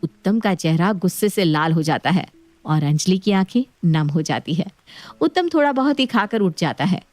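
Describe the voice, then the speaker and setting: A woman's voice: fairly low pitch, animated, normal speed, a little mellow, no echo. A woman narrating a scripted domestic tale as storyteller.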